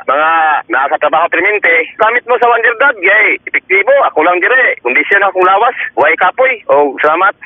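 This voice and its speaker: A man phones in a product testimonial. His voice is high and he talks fast. The phone line is narrow and dry. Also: lively intonation, breathy edge, no echo. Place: phone call to radio.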